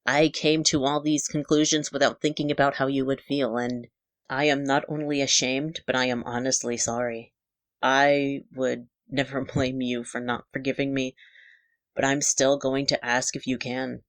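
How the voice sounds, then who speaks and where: A woman's voice: rumbling low, level tone, moderate pace, no echo. A woman voicing a middle-aged father in an audio story, guarded and wounded, earnest and apologetic. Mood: regretful, earnest. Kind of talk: dramatic character dialogue in a narrated audio story.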